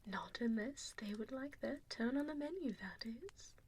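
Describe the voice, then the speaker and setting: A woman voicing an evil, possessive female vampire in a breathy ASMR whisper, deliberate and mockingly polite, speaking close into a binaural mic. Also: on the high side, animated, darkish tone. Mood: cold, gloating. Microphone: binaural ear microphone, close up. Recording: close binaural mic with audible background noise.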